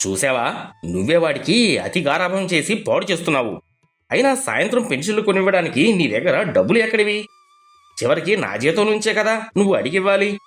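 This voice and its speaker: An actor playing a stern, penny-pinching middle-class husband who talks down to his family in an animated moral story. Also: sits high, a little fast, faint music behind. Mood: scolding. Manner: scolding, questioning. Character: stern, tight-fisted middle-class husband, bank clerk, belittling his wife.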